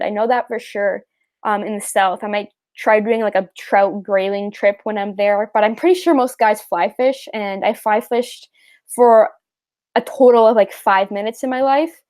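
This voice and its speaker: A young woman from Canada chats with viewers on her live fishing stream. She rambles in a relaxed way, full of "um" and "like," and laughs easily as she answers questions. Her voice sits a little on the high side. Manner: chatty, rambling, laughs easily, many fillers. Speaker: fishing livestream host. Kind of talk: casual live chat reading viewer questions.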